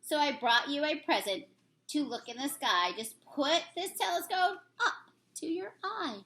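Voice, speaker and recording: A woman teacher reading a rhyming picture book aloud to children in an expressive, sing-song storybook manner. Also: high-pitched, unhurried.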